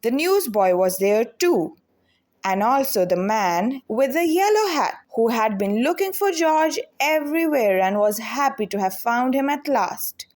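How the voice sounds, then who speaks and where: A woman storyteller reading a children's picture book aloud in an expressive, deliberate way. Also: fairly high pitch.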